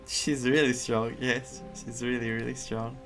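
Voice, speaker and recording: A man giving casual, reflective playthrough commentary as a streamer, haltingly and repeating his phrases. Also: medium pitch, flat delivery, a bit bright. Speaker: gaming streamer. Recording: game music behind the voice.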